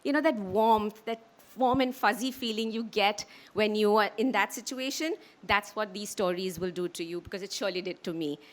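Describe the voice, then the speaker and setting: A woman's voice: medium pitch, normal speed. A woman with an Indian accent giving a public speech as she presents a launch.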